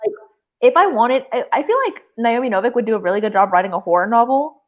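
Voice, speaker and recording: High-voiced woman chatting casually about books. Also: animated, fast, dull audio. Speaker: live show co-host. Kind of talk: casual book discussion.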